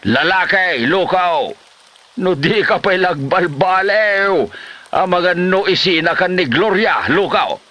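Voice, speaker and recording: A man acts out an exasperated older Filipino father in an Ilocano comedy drama. His voice is bright and fairly high, swinging up and down as he scolds his lazy son. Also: animated, slow, heavily acted. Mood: exasperated scolding.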